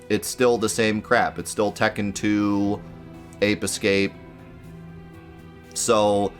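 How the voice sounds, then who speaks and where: A man hosting a gaming channel, sounding a bit nasal and congested from a cold, talking casually and haltingly with lots of fillers as he airs his gripes. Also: lowish voice, a bit bright. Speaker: let's play host. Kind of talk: casual gaming commentary to viewers.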